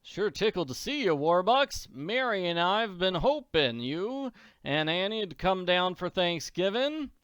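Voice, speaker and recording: A man's voice: high voice, big pitch swings, a little slow, fairly bright tone, smooth, no room sound, highs cut off, pitched above the performer's own voice, full character voice. Friendly farmer, male reader; folksy, dry sound. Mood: pleased. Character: friendly middle-aged farmer.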